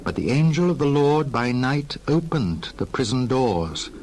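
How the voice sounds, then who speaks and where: A man narrating dramatized scripture in a formal, expressive storytelling manner. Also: medium pitch, a little slow, noisy background.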